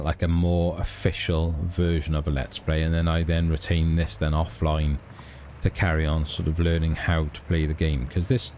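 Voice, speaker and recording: A man's voice: very deep voice, a little mellow, slight background noise. A middle-aged man with a Welsh accent, hosting a casual let's play and chatting about his game in a rambling, hesitant way with lots of ums and restarts. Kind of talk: casual gameplay commentary.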